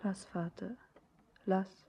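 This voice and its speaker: An actress playing a despairing, devoted young bride in a poetic radio drama, speaking in halting whispers. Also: female voice, medium pitch, little pitch movement, warm tone, a little hiss or hum. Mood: grief-stricken. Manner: breathy, halting, whispered verse delivery. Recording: dull lo-fi radio recording.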